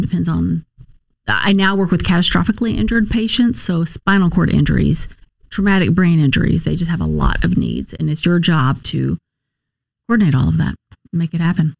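A woman's voice: fairly low pitch, vocal fry, no room sound. American woman in a dry, close interview. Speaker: interview guest, nurse case manager and former Marine officer. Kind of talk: conversational interview answers.

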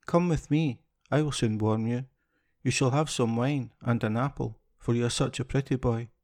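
A kindly old poet from a storybook, as a man puts him on, gentle and soft-spoken, lifted a little higher than a plain speaking voice. His tone carries a gravelly edge and a soft, pitying manner. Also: moderate pace, lightly acted.

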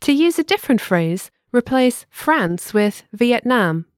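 Lively-voiced woman narrating a language lesson. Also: a little high, no echo, studio quality. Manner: clear, measured, instructive. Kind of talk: scripted instructional narration.

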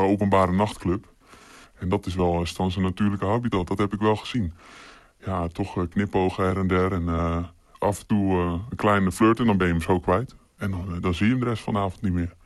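Anonymous male witness, pitch-shifted low, halting casual account, dry. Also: dry recording. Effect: voice disguised by pitch shifting.

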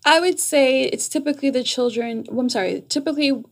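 Therapist guest, woman in her thirties, Jamaican-born, articulate, slightly husky. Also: sits high, brisk. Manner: articulate, explanatory, laughs easily. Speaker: psychotherapist guest. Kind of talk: casual podcast interview.

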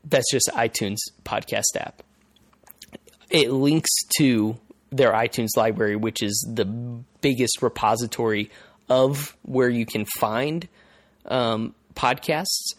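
A male podcast host explaining things in casual conversation, haltingly, repeating phrases as he thinks aloud. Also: mid-range voice, no room sound.

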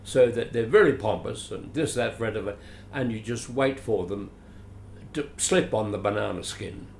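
A man in his late seventies with an Australian accent, telling stories about his acting career in an animated, theatrical, chuckling way, recorded at home. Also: on the low side, moderate pace, creaky. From Adelaide, Australia. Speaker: guest, veteran actor. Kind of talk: storytelling interview. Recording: roomy echo, faint hiss.

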